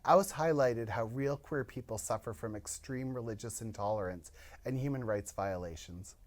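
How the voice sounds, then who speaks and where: Host: man, mid-pitched, reads a scripted intro steadily. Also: moderate pace. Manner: even, reading prepared remarks. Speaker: podcast co-host.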